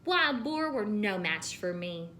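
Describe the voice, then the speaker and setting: A woman's voice: mid-range voice, very animated, slow, bright, forceful tone, slight background noise, heavily acted. A woman voicing a boastful, nostalgic old male snake in a storybook, reminiscing with emphasis.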